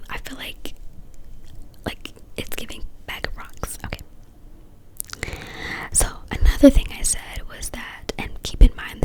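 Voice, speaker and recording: A woman speaking in a breathy whisper, giving casual, opinionated commentary in slangy, informal phrasing, in an ASMR-like style. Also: on the high side, low-quality recording. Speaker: vlogger reviewing a reality dating show.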